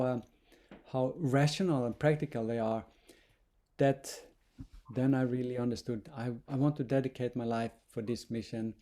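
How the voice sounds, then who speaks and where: Guy, halting and repeating himself a lot, chatting on a call. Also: medium pitch, mellow. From Norway. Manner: hesitant, many restarts and repeated words. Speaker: interview guest, monk. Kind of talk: casual interview talk.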